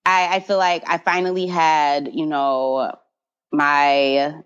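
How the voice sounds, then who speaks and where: Twenties woman, low voice, self-assured casual interview guest, muffled podcast sound. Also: level tone, slow, a bit bright, clean tone. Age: 20s. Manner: confident, laughs often, repeats fillers like "you know".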